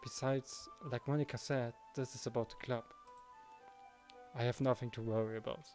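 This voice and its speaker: A man's mid-pitched voice with music playing behind it. He narrates a visual novel in the first person, acting out the protagonist's thoughts and lines as he reads. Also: flat delivery, moderate pace, darkish tone. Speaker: Let's Play narrator reading the protagonist's lines.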